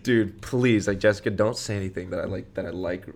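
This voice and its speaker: A man's voice: deep voice, a little fast, background noise. A young adult man co-hosting a podcast, telling personal stories in a casual, rambling way and acting out the quotes. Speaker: podcast co-host. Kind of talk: casual anecdote-telling chat.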